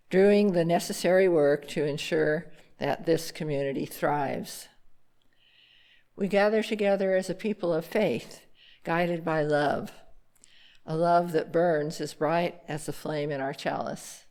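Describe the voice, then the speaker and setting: A woman congregant reading a formal worship text aloud with measured, deliberate phrasing. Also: sits low, darkish tone. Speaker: congregant reading the chalice lighting.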